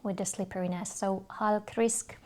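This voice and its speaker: A Hungarian woman speaking English as a podcast guest, telling stories thoughtfully with many pauses and restarts. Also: medium pitch, fairly even intonation, dark tone. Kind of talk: casual interview chat.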